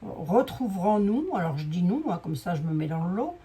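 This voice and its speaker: Hoarse chatty woman reading cards. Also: fairly low pitch, sing-song, moderate pace, warm tone. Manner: chatty, rambling, many fillers and asides. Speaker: clairvoyant doing a card reading. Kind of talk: casual live card-reading chat. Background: fan noise.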